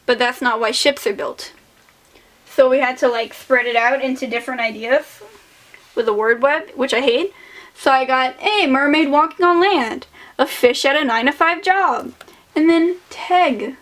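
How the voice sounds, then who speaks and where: A woman's voice: high-pitched, a little slow, fairly bright tone. A woman in her late teens with a lisp, giving casual, rambling, self-deprecating commentary as an artist showing sketchbooks.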